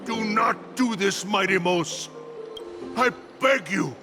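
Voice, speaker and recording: A man playing a stern, devoted old warrior mentor in a video game, with a gravelly voice and formal, weighty speech. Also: high-pitched, takes it slowly. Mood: pleading. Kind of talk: scripted video game acting. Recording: clear music behind.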